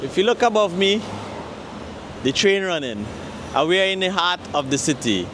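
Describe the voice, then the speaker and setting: A man's voice: high-pitched, sing-song, normal speed, background noise. A man with a Trinidadian accent hosting a street interview show, upbeat as he introduces guests and asks questions. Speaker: host. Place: city street, then inside a barbershop.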